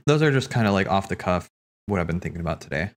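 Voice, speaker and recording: Deep-voiced man rambling casually about games. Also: animated, quick pace. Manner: rambling, musing, thinking aloud. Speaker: streamer hosting a cutscene watch party. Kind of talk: casual off-the-cuff game commentary.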